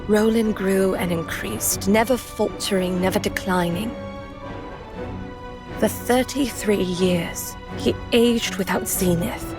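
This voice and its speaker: Woman acting unhurried storyteller over music. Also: mid-range voice, close to an everyday voice. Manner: measured, lyrical. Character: woman storyteller reciting a hero's legend, reverent. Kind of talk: dramatic storytelling in audio drama.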